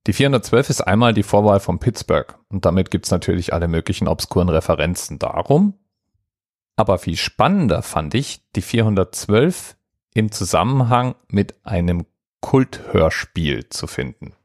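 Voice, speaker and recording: A man's voice: low voice, sing-song, moderate pace, darkish tone, no room sound, clean studio sound. A man hosting a podcast, explaining things in a casual solo monologue. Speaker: podcast host.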